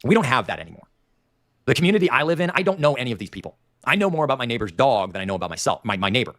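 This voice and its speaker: A man's voice: medium pitch, sing-song, racing, a bit bright, dry recording, studio quality. A man with a nasal voice and a Dayton, Ohio Appalachian accent, hosting a livestream and ranting in opinionated, digressive commentary. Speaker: livestream host.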